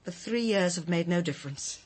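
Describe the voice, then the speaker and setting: An actress playing a grieving, wistful English widow in a radio drama, with a husky voice. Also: female voice, fairly low pitch, expressive, a little fast. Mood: grieving, indignant.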